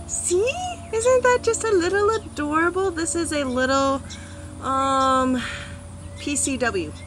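A woman in her thirties giving a casual gardening vlog outdoors on a front porch, chatty, with many ums and animated asides. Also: medium pitch, background noise. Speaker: gardening vlogger. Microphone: phone or camera on a small tripod.

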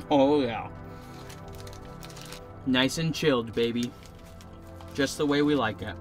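A man's voice: mid-range voice, takes it slowly, soft background music. Laid-back young man vlogging from a truck. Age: young adult. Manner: chatty, laid-back, joking. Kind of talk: casual vlog talk to camera. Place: inside a truck bed camper shell at night. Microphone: camera mic at close range.

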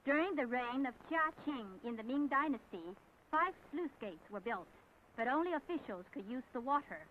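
A woman's voice: sits high, expressive, moderate pace. A woman reads formal, declamatory scripted narration for a 1970s propaganda documentary. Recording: tinny, band-limited, noisy 1970s film soundtrack.

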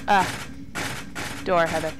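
A woman with a slightly low voice gives casual commentary on a horror game. She takes her time, mutters asides and tends to exclaim and swear. There is some noise and game ambience behind her. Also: fairly even intonation, takes it slowly. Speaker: let's play gamer.

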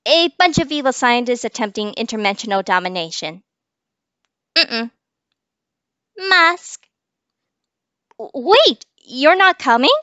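A high, lively voice of a spirited young cartoon girl, as a woman puts her on for a fan audition. The recording is close and dry. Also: unhurried, long pauses, no room sound, muffled recording, heavily acted. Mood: upbeat. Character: cheerful bright young girl genius.

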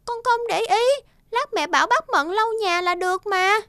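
A woman's voice: very high-pitched, normal speed, bright, forceful tone, no room sound, clean studio sound, exaggerated voice. A woman playing a spoiled, bossy little girl in a cartoon, with a whiny, demanding manner. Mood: sulky. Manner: bratty, demanding. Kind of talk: cartoon dubbing.

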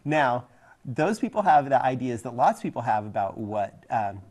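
A man's voice: medium pitch, animated, normal speed, a little mellow. A man in his forties co-hosting a science show, explaining things in casual banter. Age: 40s. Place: studio set. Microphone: clip-on lapel mic.

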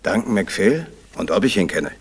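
An actor playing an affable doctor and writer in a crime radio play, speaking in a familiar, conversational way. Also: male voice, lowish voice, big pitch swings, normal speed, breathy edge, exaggerated voice. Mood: friendly. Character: affable male doctor and writer, old friend. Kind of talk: 1970s German crime radio play acting.

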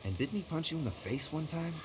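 A man's voice: fairly high pitch, lively intonation, fast, background noise, close to an everyday voice. A man with an American accent playing a frustrated, hungry, talkative survivor in a video game. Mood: grumbling, wry.

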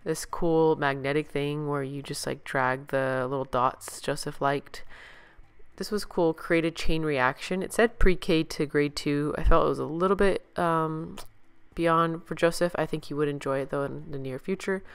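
A woman's voice: deep voice, steady tone, moderate pace, smooth. A woman chatting casually and enthusiastically in an informal shopping haul vlog. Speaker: vlogger, parent.

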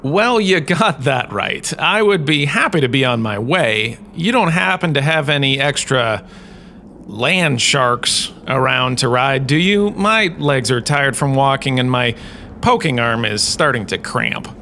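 Man playing a lively, glib hologram lecturer. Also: medium pitch, a little slow, a little hiss or hum. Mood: wry. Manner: wry, chatty, dramatic asides. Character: glib, theatrical male hologram university lecturer. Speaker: host. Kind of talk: scripted comic lecture.

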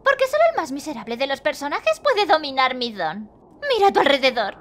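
A woman's voice: sits high, sing-song, a little fast, a bit bright. A woman voicing a solemn, blunt, forgotten mystic in a cartoon fandub. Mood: grand, blunt. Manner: dramatic, solemn. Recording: music behind the voice.

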